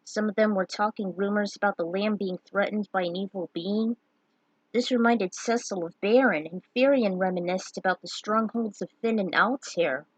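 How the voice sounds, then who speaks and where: A woman narrates a scripted fantasy tale. Her voice is mid-pitched for a woman and a bit husky. The recording is dry, with very little room sound. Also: moderate pace, dry recording, rough recording. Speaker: storyteller reading fan fiction.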